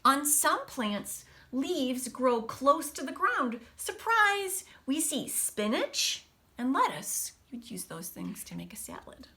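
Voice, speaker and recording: A woman teacher reading a picture book aloud to children, expressively, with casual, hushed explanatory asides. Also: high voice, slow. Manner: animated storybook delivery, dropping to hushed asides.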